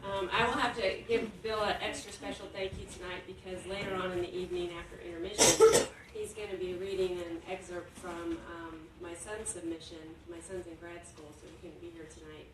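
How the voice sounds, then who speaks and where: A woman's voice: lowish voice, brisk. A middle-aged woman hosting a live event with informal announcements. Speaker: event host. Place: indoor book launch event. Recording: very echoey hall, distant, noisy.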